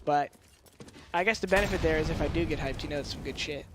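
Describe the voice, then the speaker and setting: A man with a high-pitched, slightly nasal voice, commentating a game with chatty, analytical remarks. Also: fast talker, noisy background. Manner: analytical, chatty, joking asides. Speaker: esports commentator.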